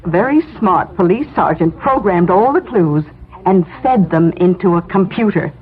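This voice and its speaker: An actress playing a practical, affectionate, reassuring wife in a 1970s radio drama. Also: female voice, lowish voice, animated, moderate pace, close to an everyday voice. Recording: tinny 1970s radio broadcast, faint noise.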